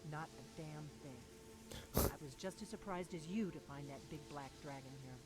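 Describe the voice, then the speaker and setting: An actress plays a resolute woman warrior from a fantasy video game, sworn to an old order. She speaks bluntly and earnestly, like someone sharing grave secrets. Loud music plays behind her voice. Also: deep voice, normal speed, lightly acted. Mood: blunt, frustrated.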